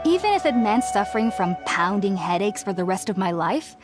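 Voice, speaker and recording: A woman playing a studious, polite young researcher in a video game, speaking formally, earnestly and with precise, measured phrasing. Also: mid-range voice, very animated, lightly acted. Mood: wistful. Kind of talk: scripted game character dialogue. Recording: music behind the voice.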